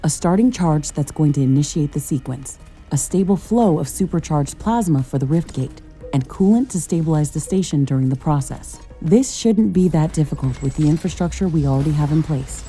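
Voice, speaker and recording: An actress playing an earnest, curious scientist-commando who pilots a mecha suit in a sci-fi game, talking in a measured, thoughtful, professional way. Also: female voice, deep voice, lively intonation, dark tone, faint music behind. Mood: practical, explanatory. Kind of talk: scripted sci-fi game dialogue.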